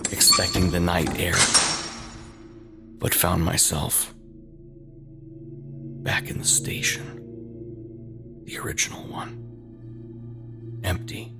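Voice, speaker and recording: A man narrating a scripted first-person horror tale in short, halting phrases with an ominous, dramatic manner. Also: low-pitched, vocal fry.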